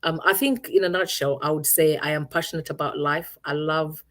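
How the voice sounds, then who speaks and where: Low-voiced thoughtful woman from Uganda. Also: steady tone, a little fast, fairly bright tone. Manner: thoughtful, searching for words with many restarts. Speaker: guest. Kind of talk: reflective interview answers.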